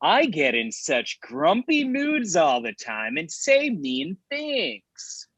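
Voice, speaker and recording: A man acting as a grumpy, lovelorn young man in a comedy table read, confessing earnestly and haltingly. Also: fairly high pitch, wildly swooping pitch, a bit bright, husky, no room sound, heavily acted. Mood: earnest, confessional.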